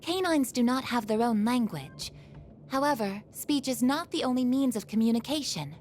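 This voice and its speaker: A woman's voice: on the high side, expressive, normal speed, darkish tone, a little hiss or hum, close to an everyday voice. An actress playing a polite, literal-minded female android in a video game, speaking formally and precisely. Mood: matter-of-fact. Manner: formal, precise, matter-of-fact.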